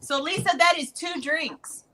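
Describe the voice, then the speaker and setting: A woman's voice: high voice, a little slow, sharp tone. A woman hosting a craft show over a video call, bubbly and teasing, often breaking into laughter. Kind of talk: casual group chat.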